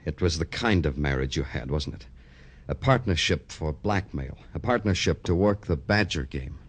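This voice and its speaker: A man's voice: a bass voice, lively intonation, moderate pace, breathy edge, exaggerated voice. A man with an American accent playing a dry, tough police detective in a 1950s radio crime drama, clipped and wry. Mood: probing. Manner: clipped, wry, hard-boiled.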